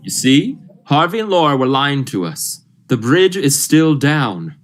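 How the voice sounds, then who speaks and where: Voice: mid-range voice, big pitch swings, unhurried, faint music behind. A young performer playing an urgent, commanding male doctor in an old-style radio thriller, emphatic and melodramatic. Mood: urgent, impatient. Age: young adult. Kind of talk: 1940s radio thriller acting.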